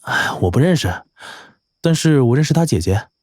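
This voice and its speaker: High-voiced, expressive young hero. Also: male voice, moderate pace, no echo, studio quality. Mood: earnest. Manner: expressive, reactive, earnest. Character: naive, kind-hearted young male wandering hero, easily flustered. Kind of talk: animated audio drama acting. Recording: background music under the voice.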